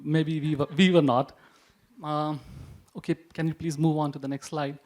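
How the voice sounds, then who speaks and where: A man with a fairly high voice, from Maharashtra in India and a native Punjabi speaker, presents at a panel. He jokes drily and pauses often with "uh". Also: level tone, darkish tone. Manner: wry, joking, with frequent "uh" hesitations. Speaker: publisher presenting.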